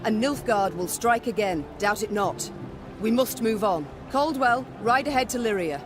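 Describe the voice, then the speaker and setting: An actress playing a proud, resolute warrior queen in a game, speaking in a measured, commanding way. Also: a little high, expressive, noisy background, close to an everyday voice. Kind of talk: dramatic scripted game dialogue. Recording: music under the voice.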